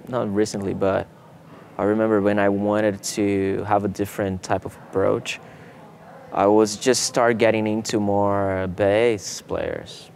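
Deep-voiced Brazilian guitarist, laid-back. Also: male voice, slight background noise. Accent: Brazilian Portuguese. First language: Portuguese. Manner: relaxed, laid-back, many fillers like "you know" and "man". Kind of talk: casual interview answers. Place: seated in an empty theater hall.

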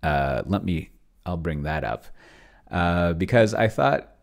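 A man's voice: low-pitched, expressive, slow pace, vocal fry. A middle-aged man giving a casual, unscripted walkthrough as a host, rambling and often interrupting himself in an offhand way. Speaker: host of a tabletop roleplaying tech channel. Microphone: desk microphone close to the mouth.

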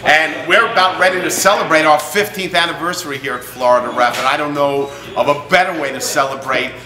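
A man's voice: on the high side, steady tone, brisk, crowd noise. A man in his fifties giving an enthusiastic, emphatic promotional talk to camera as a theater's artistic director. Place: indoor theater space under renovation. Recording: echoey room, loud construction noise behind. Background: loud construction noise.

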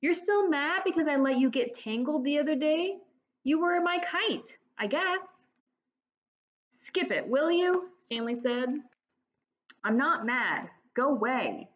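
A woman's voice: sits high, lo-fi sound. A woman in her forties, a teacher, reading a children's chapter book aloud at home into a laptop webcam, voicing the dialogue expressively. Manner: slow, expressive storytelling with lively voiced dialogue. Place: home room, indoors.

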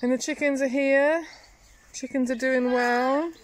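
A woman's voice: high-pitched, steady tone, unhurried, slight background noise. Chatty woman touring her garden. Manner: chatty, teasing, laughs often. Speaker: family vlogger. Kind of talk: casual garden tour chat. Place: sunny back garden outdoors.

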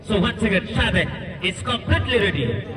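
High-pitched excitable cricket commentator, distant. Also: male voice, moderate pace. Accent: Assamese. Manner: excitable, bursts into shouts on big hits. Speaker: match commentator. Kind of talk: live cricket commentary over loudspeaker. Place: outdoor floodlit cricket ground at night. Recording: distant loudspeaker voice over crowd noise. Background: large crowd.

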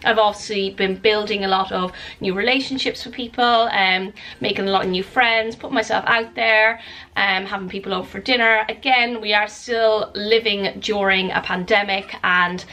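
A woman's voice: medium pitch, fairly even intonation, moderate pace, bright, forceful tone, rough recording. A woman in her thirties, slightly snuffly with a cold, talking earnestly to camera in a cottage living room. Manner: earnest, open, talks with her hands. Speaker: vlogger. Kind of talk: casual vlog chat to camera.

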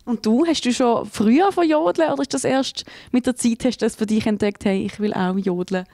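A woman's voice: a little high, a little fast, mellow, no room sound. A woman in her thirties with a Swiss German accent, interviewing guests for TV with friendly, curious questions, speaking into a handheld mic. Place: small indoor studio room.

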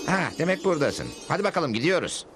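An actor voicing a cool, confident cartoon cowboy hero, unruffled, wry and commanding. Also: male voice, on the high side, very animated, fast. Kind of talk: cartoon dubbing. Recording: loud music under the voice.

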